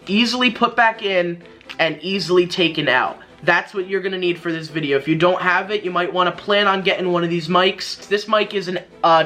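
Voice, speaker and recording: Bright-voiced young man, casual tutorial host. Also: high voice. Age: young adult. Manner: informal, rambling, slangy. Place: small bedroom indoors.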